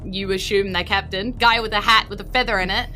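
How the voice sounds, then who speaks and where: Bright-voiced New Zealand woman game master. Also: medium pitch, steady tone, a little fast, soft background music. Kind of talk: improvised tabletop game narration.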